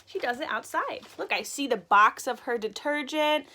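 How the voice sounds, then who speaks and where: Bright, high-pitched woman reading to children. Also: normal speed. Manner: animated, expressive, explains words simply for young listeners. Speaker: teacher reading a picture book aloud to children. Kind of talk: children's read-aloud with simple explanations.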